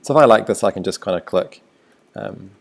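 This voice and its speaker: A man with a New Zealand accent, a teacher talking through a screencast tutorial in a relaxed, thinking-aloud way. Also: on the low side, brisk, creaky.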